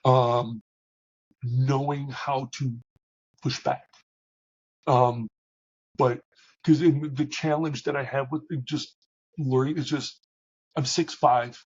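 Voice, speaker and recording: A middle-aged man with a big booming voice, talking candidly as an interview guest, with many restarts and fillers. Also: flat delivery, slow, pauses often, muffled recording. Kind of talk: reflective conversational interview.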